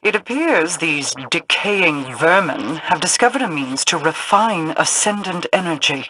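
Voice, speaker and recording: A proud, commanding queen in a fantasy game. Her voice is bright and forceful, with formal, measured and imperious phrasing. A clear layer of noise sits on the sound. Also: low voice, exaggerated voice, rough recording. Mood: disdainful. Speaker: video game character voice actor. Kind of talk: scripted video game dialogue.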